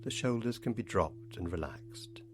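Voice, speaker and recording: A man leading a guided meditation, speaking in unhurried phrases with long pauses between them. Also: fairly low pitch, animated, background noise.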